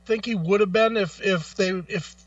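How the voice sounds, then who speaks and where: A man's voice: high-pitched, normal speed, dry recording, far from the mic, dull audio. A man co-hosting a radio show in casual, opinionated chat, blunt and freely swearing. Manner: blunt, profane.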